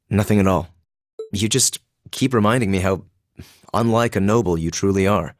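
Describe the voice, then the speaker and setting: A haughty young aristocratic student from a video game, acted by a man, with a fairly low voice and a close, dry sound. He is composed and perceptive, choosing his words formally and keeping an aloof distance. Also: dry recording, natural voice. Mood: amused, probing. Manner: formal, measured, aloof diction. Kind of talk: English video game voice acting.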